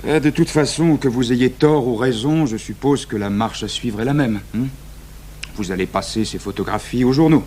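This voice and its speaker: A man's voice: mid-range voice, normal speed, darkish tone, natural voice. A man acting a sceptical, exacting magistrate in his thirties in a French radio crime drama, dry and formal. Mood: dry, doubting. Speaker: actor playing an examining magistrate. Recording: noisy 1980s radio recording with hum.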